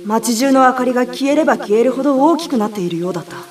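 A woman's voice: a little high, racing, over music, lightly acted. A woman playing an earnest, dutiful young girl who blames herself, in an audio drama. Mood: worried. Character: young girl, dutiful, anxious, self-blaming lantern bearer. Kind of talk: Japanese audio drama acting.

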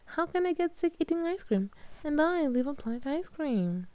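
A woman voicing a cheerful young girl who guides visitors around an ice cream planet, in a bright, sing-song manner. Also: sits high, very animated, moderate pace, no room sound, voice pushed up. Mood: chatty.

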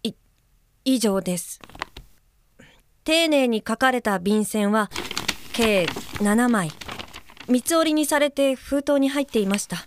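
An actress playing a considerate, observant guide helper in an audio drama, speaking gently, politely and with care. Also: female voice, fairly high pitch, lively intonation, slow pace, pauses often, a little hiss or hum. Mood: careful, subdued. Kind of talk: Japanese audio drama acting, reading aloud and describing.

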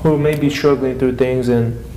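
Male co-host for casual podcast chat, soft dark timbre, informal, faint noise in the background. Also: mid-range voice, fairly even intonation, moderate pace, reverberant, low-quality recording.

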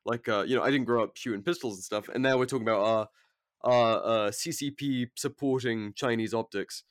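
A young man from rural England, hosting a podcast in casual chat, with a British accent worn partly American after years in the States, relaxed, sweary and quick to laugh. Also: mid-range voice, no room sound, studio quality. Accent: British English blended with American. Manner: laid-back, sweary, laughs often. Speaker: podcast host.

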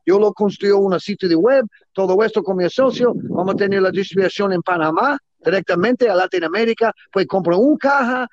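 A middle-aged man who is not a native Spanish speaker gives closing remarks as a guest, talking with eager enthusiasm in halting Spanish full of grammar slips. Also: high-pitched, no echo, dull audio. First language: not Spanish; Spanish is his second or third language. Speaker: guest, cigar businessman. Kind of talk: closing remarks in a casual interview.

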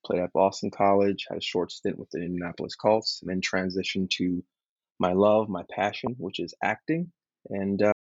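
A man from Liberia, a podcast guest, talking in an earnest, measured way about grief and healing. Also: deep voice, breathy edge, no room sound. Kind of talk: reflective interview talk. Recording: dull lo-fi call audio.

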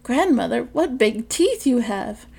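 A woman's voice: mid-range voice, steady tone, a little fast, warm tone, close to an everyday voice. A woman voicing an innocent, chatty little girl from a fairy tale. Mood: wondering. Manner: naive, wondering.

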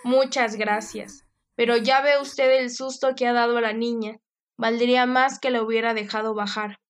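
A woman doing a loud, brusque father. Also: a little high, moderate pace, natural voice. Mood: stern. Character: stern gruff father, middle-aged man.

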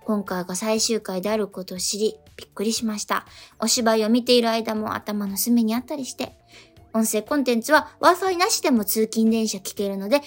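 A woman co-hosting a radio show, reading listener letters and chatting attentively. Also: a little high. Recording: music behind the voice. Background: background music.

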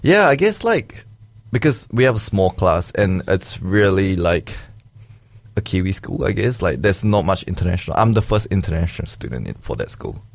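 Young man from Malaysia, deep voice with vocal fry, dry close sound, hesitant casual talk full of fillers. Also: sing-song, moderate pace, no echo. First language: Chinese. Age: young adult. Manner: hesitant, many fillers and restarts. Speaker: interviewee. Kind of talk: casual conversation.

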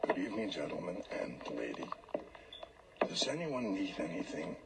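An actor playing a cryptic little old male street pedlar in a radio drama, soft-spoken, courteous and quietly insistent. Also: sits low, very animated, normal speed, vocal fry, heavy background noise, heavily acted. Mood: gently insistent. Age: older.

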